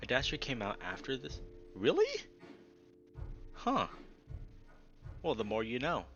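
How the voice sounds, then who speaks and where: A man reading a visual novel aloud as a streamer, breaking off into casual, excitable reactions. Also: mid-range voice, a little slow, dull audio. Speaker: let's play streamer, narrator. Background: background music.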